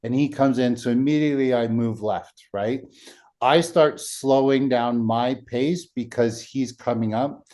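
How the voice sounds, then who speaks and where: A man with a deep voice hosting a casual podcast, telling a story and checking in with "right?" as he goes. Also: unhurried, a little mellow. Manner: storytelling, frequent "right?" tags. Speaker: podcast host.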